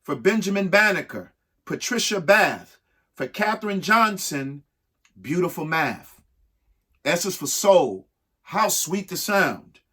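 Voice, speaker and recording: A man reads a picture book to children with theatrical emphasis. His fairly high voice swings widely in pitch, and he takes his time, leaving dramatic pauses between phrases. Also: big pitch swings, sharp tone, breathy edge. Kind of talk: expressive picture-book read-aloud with short asides to young listeners.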